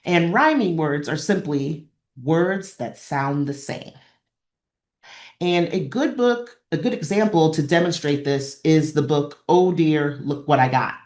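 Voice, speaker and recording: A woman's voice: low-pitched, expressive, measured pace, a little mellow. A woman presenting an instructional webinar, explaining in an encouraging way and repeating phrases for emphasis. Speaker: presenter of a librarian training session. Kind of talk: instructional webinar talk with examples.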